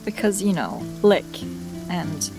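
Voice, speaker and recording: A woman's voice: lowish voice, animated, slow pace, gravelly, exaggerated voice. A woman playing a vulnerable young apprentice mage in a fantasy audio drama, speaking hesitantly and sincerely. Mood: subdued. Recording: music and rain behind the voice. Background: music, rain.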